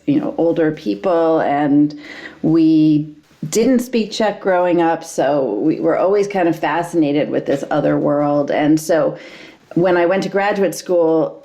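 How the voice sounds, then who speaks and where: Woman in her fifties, low mellow voice, historian guest, thoughtful, frequent ums. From United States. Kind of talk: interview answers.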